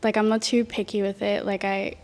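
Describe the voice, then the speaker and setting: A young woman speaking hesitantly in a panel discussion, soft and unsure. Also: mid-range voice, little pitch movement, a little fast. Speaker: panel guest. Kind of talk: casual discussion. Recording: long reverb.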